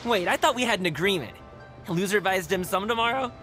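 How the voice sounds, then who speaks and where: A man's voice: high voice, expressive, brisk, sharp tone, faint music behind. An actor playing a cheerful, playful young male guard in a video game, with a breezy, friendly way of talking. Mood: teasing. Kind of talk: video game character acting.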